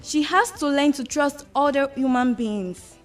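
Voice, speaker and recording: Actress as a high-voiced, commanding Nigerian madam. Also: female voice, measured pace, faint music behind. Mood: firm. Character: commanding, compassionate well-off madam, quick to take charge. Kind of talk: radio drama acting.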